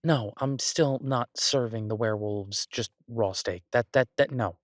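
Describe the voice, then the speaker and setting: A man playing an exasperated but affectionate restaurant chef in an intimate boyfriend audio roleplay, talking conversationally with halting, stammered restarts. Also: mid-range voice, dry recording, clean studio sound, natural voice. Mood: exasperated, musing. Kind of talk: intimate boyfriend roleplay, one-sided casual dialogue.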